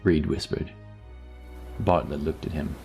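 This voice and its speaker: A man with a very low voice narrates a scripted fantasy tale. He reads in a storyteller's register, with music playing faintly behind him. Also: steady tone, measured pace, vocal fry, warm tone. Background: background music.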